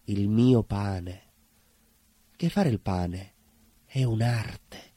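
Man playing obsessive southern Italian baker, rough husky voice, dry recording, bitter theatrical monologue, emphatic repetitions. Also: lowish voice, very slow, mellow, dry recording, heavily acted. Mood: brooding. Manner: emphatic repetitions, dropping to near whispers.

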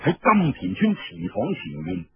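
Husky, animated Cantonese male storyteller. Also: on the high side, moderate pace, soft background music. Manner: theatrical, colloquial, expressive. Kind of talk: dramatic Cantonese storytelling narration. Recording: dull lo-fi radio recording.

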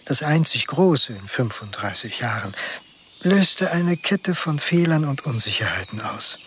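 An actor playing a weary, self-deprecating office worker in his fifties, speaking with resigned pauses in a radio drama. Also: medium pitch, fairly bright tone, slight background noise. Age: 50s. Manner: deliberate, pausing, resigned.

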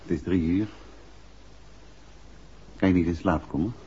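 A man's voice: low voice, a little slow, warm tone, breathy edge, full character voice. An actor playing a composed, courteous male detective in a 1960s radio drama. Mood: calm, questioning. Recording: muffled hissy vintage radio recording.

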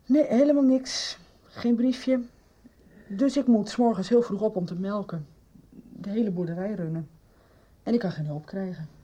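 A woman's voice: mid-range voice, normal speed, warm tone, highs cut off, natural voice. An actress playing an anxious countrywoman who runs a farm, in a radio drama. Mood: worried, weary. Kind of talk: 1990s radio drama acting.

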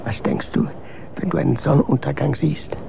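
An actor playing a weary, melancholic man reciting bleak verse in a hushed, fragmentary way for a radio drama. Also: fairly high pitch, creaky, noisy background. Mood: bleak, brooding. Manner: slow, fragmentary, repetitive.

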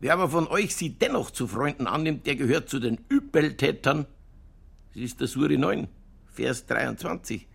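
An actor playing a stubborn, provocative Bavarian police detective in a German radio crime drama, holding forth in colloquial dialect with a husky, gravelly voice. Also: male voice, mid-range voice, animated, moderate pace, fairly bright tone, heavily acted. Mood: declaiming, insistent.

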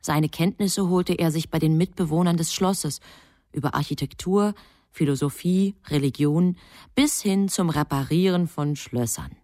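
Low-voiced woman narrating, dry studio sound. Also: moderate pace, warm tone, dry recording. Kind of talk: scripted literary narration for radio drama.

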